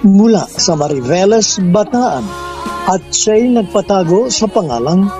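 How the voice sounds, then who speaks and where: High-pitched man narrating radio drama. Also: animated, unhurried. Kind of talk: sentimental scripted narration. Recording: dull lo-fi radio sound with music.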